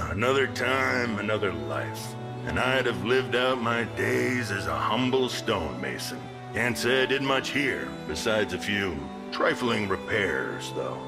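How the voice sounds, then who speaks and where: Slow, low-voiced wry fantasy lord. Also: male voice, very animated, creaky, over loud music, exaggerated voice. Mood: weary, resigned. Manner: folksy, sardonic, archaic phrasing. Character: gruff, wry town lord and seasoned fighter, resigned. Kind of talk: video game fantasy acting.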